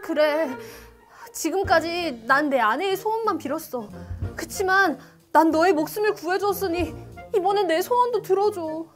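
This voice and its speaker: A woman's voice: extremely high pitch, moderate pace, soft background music. A woman playing a meek, devoted fisherman husband who pleads, in a children's storybook. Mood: pleading.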